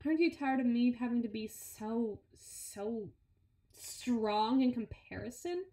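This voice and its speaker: A woman plays a brawny henchwoman for a villain, speaking in a highish female voice. She is sardonic and conversational, grumbling and teasing as if to a partner at her side. It is an intimate audio roleplay, recorded with a clearly echoing, roomy sound. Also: expressive, slow, mellow. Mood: weary, earnest. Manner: sarcastic, conversational, dramatic sighs and grumbles. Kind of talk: ASMR audio roleplay, intimate one-sided dialogue.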